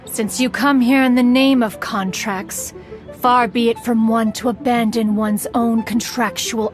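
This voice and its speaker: A woman playing a proud, aloof, ancient immortal hermit in a video game, speaking in formal, archaic phrases. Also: fairly high pitch. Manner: haughty, formal, archaic, measured. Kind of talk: theatrical video game voice acting.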